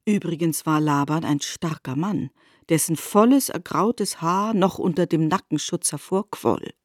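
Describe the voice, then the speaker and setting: A woman's voice: sits low, unhurried, no echo, studio quality. A woman narrating a literary radio drama from a script.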